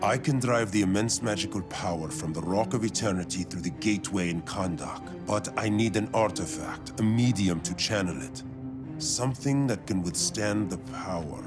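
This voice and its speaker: A man playing a stern, proud sorcerer-ruler in a video game, speaking in a measured, formal and imperious way. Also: lowish voice, music in the background, close to an everyday voice. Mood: grave. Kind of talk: video game cutscene acting.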